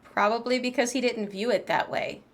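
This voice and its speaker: A woman's voice: fairly high pitch, fairly even intonation, normal speed. A woman game streamer giving chatty, informal live commentary on a story playthrough.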